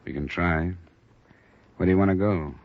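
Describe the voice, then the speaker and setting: A man's voice: low voice, normal speed, natural voice. A man acting a tough but fair insurance investigator and narrator in a 1950s radio detective drama, terse and matter-of-fact with an American accent. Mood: subdued. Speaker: lead actor and narrator. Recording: dull restored old radio recording, music.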